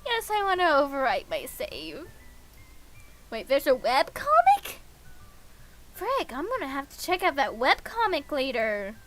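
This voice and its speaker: A woman streaming a game, reading visual novel dialogue aloud in an expressive, playful, gushing way. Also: high-pitched, a little slow, long pauses, background noise.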